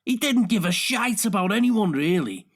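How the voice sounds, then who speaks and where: A man's voice: high voice, sing-song, normal speed, pitched above the performer's own voice. A man voicing an outspoken man giving a blunt, emphatic recollection in a nonfiction audiobook.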